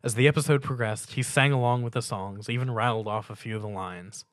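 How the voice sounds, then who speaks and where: A man's voice: mid-range voice, fairly even intonation, fairly quick, no room sound. A man narrating a scripted fan fiction story as a dramatic reading.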